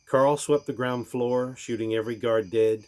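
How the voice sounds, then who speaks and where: A man reading a rhyming storybook aloud in a theatrical way, with deliberate pauses. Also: medium pitch, steady tone, a little mellow. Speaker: reader of a storybook.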